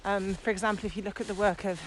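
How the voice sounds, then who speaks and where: Woman, medium pitch, casual podcast co-host, explanatory, outdoor walk, faint background noise. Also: level tone, racing. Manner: explanatory, talking while walking. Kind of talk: casual conversational podcast discussion. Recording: noisy outdoor recording with ambient sound.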